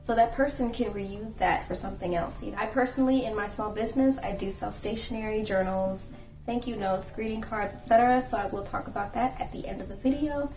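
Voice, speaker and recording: A young woman hosting her own channel, giving earnest, gently instructive etiquette advice and acting out sample phone calls. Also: medium pitch, a little fast. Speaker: channel host and etiquette creator. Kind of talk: casual etiquette advice vlog. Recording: echoey room, voice from a distance, faint music.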